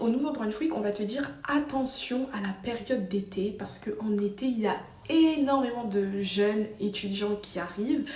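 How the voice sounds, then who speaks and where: A woman's voice: mid-range voice, a little mellow. Chatty woman vlogger in an echoey room. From Ivory Coast. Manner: chatty, explains with many fillers and restarts. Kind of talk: casual storytelling vlog. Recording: echoey room, voice a bit far from the mic.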